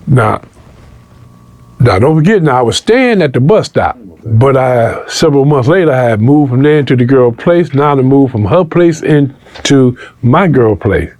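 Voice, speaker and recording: A man's voice: mid-range voice, no echo. A man telling a story casually in an interview, slangy and streetwise, acting out the dialogue as he goes. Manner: animated retelling, acting out quoted dialogue.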